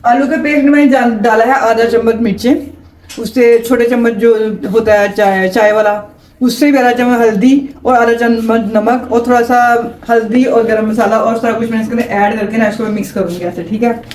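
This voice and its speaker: Pakistani woman, high bright pitch, chatty home-cook vlogger, recorded far off in an echoey room with faint noise. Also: fairly even intonation, a little fast. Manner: chatty, explains each step to viewers. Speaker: home cook, vlog regular. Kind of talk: casual cooking demonstration. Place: home kitchen. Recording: roomy, from a distance, faint background hum.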